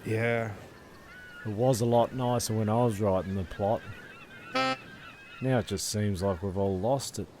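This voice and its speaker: A man's voice: fairly low pitch, huge pitch swings, measured pace, pauses often, darkish tone, a little hiss or hum. A man in audio comedy banter, speaking with an exasperated manner.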